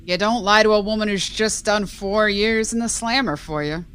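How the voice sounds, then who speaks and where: A woman playing a commanding, unhurried crime boss and exasperated parent in an amateur audio drama. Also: medium pitch, fairly bright tone, clear, resonant tone, close to an everyday voice. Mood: weary, confiding.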